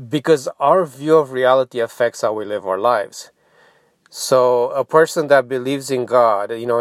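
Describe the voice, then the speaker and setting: A man's voice: mid-range voice, dry recording, clean studio sound. Hesitant, reflective man, native Romanian speaker. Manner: halting, reflective, with frequent pauses and throat clearing. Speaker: podcast host. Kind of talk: informal explanatory monologue.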